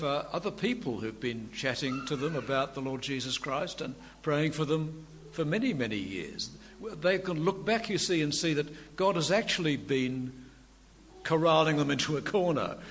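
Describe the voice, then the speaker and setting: Older male preacher in a reverberant hall. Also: on the high side. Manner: quiet, dry humour. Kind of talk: sermon. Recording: long hall reverb, faint room noise.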